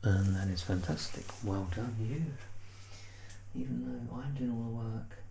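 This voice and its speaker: An ASMR performer speaking in a soft, unhurried murmur that slips into breathy whispers, reassuring and gently playful in a personal-attention roleplay. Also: medium pitch, a little hiss or hum, poor audio. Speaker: ASMR performer playing a measurer.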